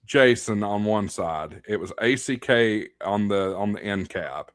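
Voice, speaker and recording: A man's voice: fairly low pitch, measured pace, clear, resonant tone, dry recording. A man from Tennessee chatting casually, with folksy, joking banter.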